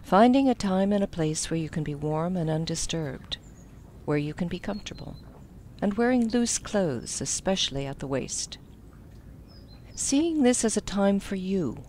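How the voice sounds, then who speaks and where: A woman with a slightly low voice leads a guided meditation. She instructs gently, letting the ends of her phrases fall soft. There is some faint noise behind her voice.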